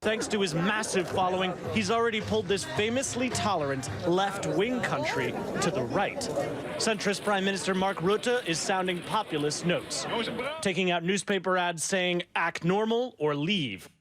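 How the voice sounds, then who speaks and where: A man narrating a news report in a measured, formal way. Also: high voice. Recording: loud crowd behind the voice.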